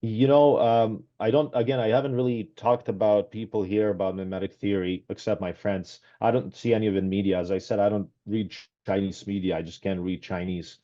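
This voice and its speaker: Low, dark-voiced man on a muffled call. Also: steady tone, fairly quick, few pauses. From Serbia, raised in Canada. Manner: hesitant, many ums and restarts. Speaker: guest, author. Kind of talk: casual conversational interview. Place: small room at home. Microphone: headset. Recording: dull lo-fi video call audio.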